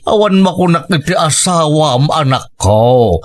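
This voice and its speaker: A man's voice: a little high, expressive, unhurried. A man playing an earnest, approving aging father-in-law in an audio drama, explaining things patiently.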